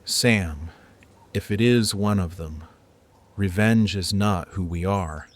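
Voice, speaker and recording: A man's voice: low voice, slow. An actor playing a concerned, loving young man in an audio drama, earnest and pleading. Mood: worried.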